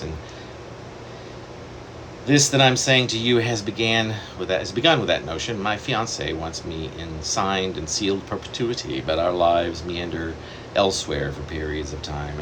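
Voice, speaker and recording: A man reading his own poem aloud in a measured, reflective way, pausing deliberately between phrases. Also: deep voice. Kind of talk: poetry reading. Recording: steady air-conditioner hum behind the voice.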